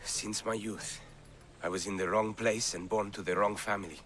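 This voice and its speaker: A man's voice: on the low side, steady tone, normal speed, fairly bright tone, natural voice. An actor playing a downtrodden, world-weary enslaved man in a video game, speaking with earnest feeling. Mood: weary, resigned. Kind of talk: dramatic video game acting.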